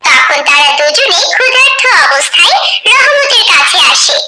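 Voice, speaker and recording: A woman's voice: very high-pitched, very animated, normal speed. A woman narrator reading a scripted cartoon story. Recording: noisy background ambience.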